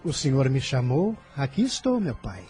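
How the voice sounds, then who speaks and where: An actor with a Brazilian accent playing an earnest, serene, devout boy of fifteen in a radio drama, speaking in a measured, formal way. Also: medium pitch, big pitch swings, mellow, slight background noise, lightly acted. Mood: respectful, calm.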